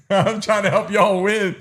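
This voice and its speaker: A man with a fairly high voice runs a live stream. He talks straight to his chat in an urgent, insistent way, repeating his calls and counting down as he goes. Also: slow pace, fairly bright tone. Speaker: livestream host running chat polls.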